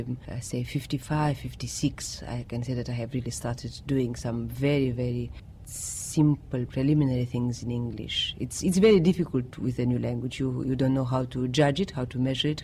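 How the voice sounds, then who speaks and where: A man's voice: mid-range voice, mellow, a little hiss or hum. A middle-aged man from Romania, speaking English as a later language in a reflective interview, often searching for words. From Romania, of Greek parents. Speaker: poet and writer interviewee.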